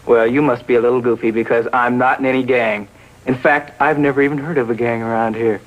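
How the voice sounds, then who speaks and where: A man's voice: medium pitch, fairly even intonation, fast, lo-fi sound, natural voice. An actor playing a surly, evasive teenage boy in 1950s TV drama style, sullen and dismissive. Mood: defiant.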